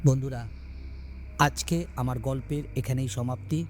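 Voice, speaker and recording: A man narrating a scripted horror story in a dramatic, suspenseful way with deliberate pauses. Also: medium pitch, slight background noise. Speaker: storyteller, channel host. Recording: background music under voice. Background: music.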